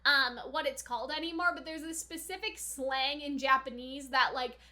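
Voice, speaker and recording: A young woman with a very high, bright voice hosts a reaction video. She talks in a chatty, informal way, leaning on "like" as she comments on an anime and reads out bits of dialogue. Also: fairly even intonation, normal speed. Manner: chatty, informal, often saying "like". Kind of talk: casual anime reaction commentary with read-out subtitles.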